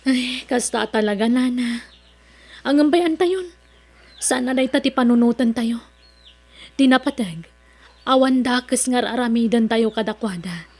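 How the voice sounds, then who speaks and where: Gentle, high-voiced Ilocano woman. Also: unhurried, pauses often. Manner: gentle and pleading. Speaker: humble mother. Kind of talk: Ilocano audio drama acting.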